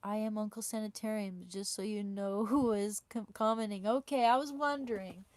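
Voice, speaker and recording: A woman on a small clip-on mic, talking casually to her live stream viewers and reading their comments aloud. Her throat is sore and her voice is starting to give out, sitting at an ordinary middle pitch for a woman. She speaks in a halting, chatty way, often stumbling and repeating words as she goes. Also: fairly even intonation, a little mellow, no room sound. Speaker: livestreamer. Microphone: small clip-on wireless mic.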